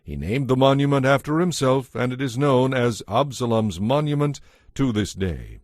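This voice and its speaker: A man narrating a dramatized audiobook in a measured, solemn storytelling manner. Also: mid-range voice, lively intonation, no echo, studio quality. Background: faint music.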